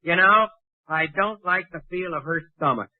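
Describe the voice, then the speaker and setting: A man's voice: high-pitched, normal speed, no room sound, natural voice. An actor playing an earnest, oblivious, fussy male biology teacher in a 1940s radio sitcom. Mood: earnest, doting. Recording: muffled old 1940s radio recording.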